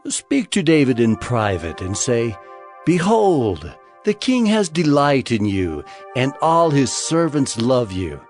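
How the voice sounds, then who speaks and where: Casting: male actor as jealous, brooding biblical king; slow, deliberate, very animated range, music underneath. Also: mid-range voice. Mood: commanding, sly. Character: scheming, jealous, brooding king of ancient Israel. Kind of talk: dramatized scripture acting.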